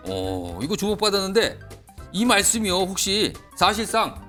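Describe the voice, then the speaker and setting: Male TV anchor, playful and teasing, high animated voice. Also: moderate pace, soft background music. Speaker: news talk show anchor. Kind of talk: hosting a political talk show.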